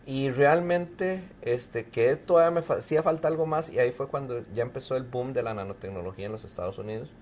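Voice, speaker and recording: A middle-aged man, a professor and researcher from Mexico who grew up in Costa Rica, answering interview questions in a relaxed, explanatory way with frequent fillers. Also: medium pitch, a little fast, few pauses, slight background noise. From Mexico, raised in Costa Rica. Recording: faint music behind.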